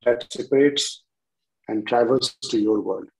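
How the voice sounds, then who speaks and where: A man in his sixties with an Indian accent introducing and reciting his own poems deliberately, in short phrases with pauses. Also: mid-range voice, rough recording. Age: 60s. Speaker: poet reading own work. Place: indoors at home on a video call. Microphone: video-call device microphone.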